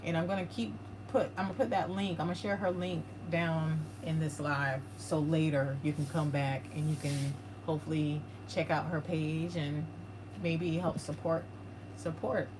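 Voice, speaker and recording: Low monotone woman reading chat. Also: normal speed. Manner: flat, monotone delivery. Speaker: paranormal livestream co-host reading chat comments. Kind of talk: casual livestream chat. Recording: clear background noise.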